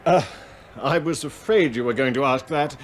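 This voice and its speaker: An actor playing a curt, scheming English husband under suspicion in a radio drama, guarded and sardonic in manner. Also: male voice, fairly high pitch, moderate pace, a little hiss or hum, close to an everyday voice. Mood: guarded, evasive. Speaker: suspected husband in a radio play. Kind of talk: British radio drama acting.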